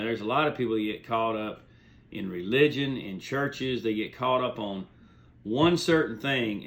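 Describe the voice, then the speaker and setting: A man's voice: fairly low pitch, a bit bright. A man hosting a hobby video series, talking informally to viewers in a folksy, plain-spoken, rambling way. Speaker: host of a beagle hunting video series. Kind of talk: informal explanatory monologue to viewers.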